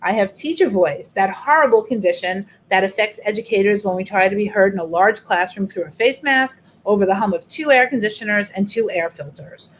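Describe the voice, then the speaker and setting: A woman's voice: mid-range voice, a little fast, hardly any pauses. New teacher lady explaining stuff on a video call, chatty, some faint hiss behind. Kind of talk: online workshop walkthrough.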